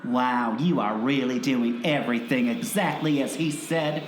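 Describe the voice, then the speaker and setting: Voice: mid-range voice, a little slow, slight background noise, close to an everyday voice. A performer playing a theatrical, gloating villain diva in an audio drama, with a camp, menacing drawl. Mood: smug. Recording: roomy echo, music underneath.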